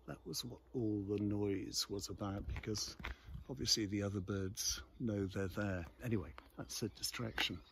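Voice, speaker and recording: A man chatting casually and hesitantly in an unhurried, rambling way, easily sidetracked, speaking outdoors into a phone. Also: fairly low pitch, vocal fry, a bit bright. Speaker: vlog host and local magazine columnist. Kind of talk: rambling casual morning chat to camera. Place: outdoors in the countryside above a valley.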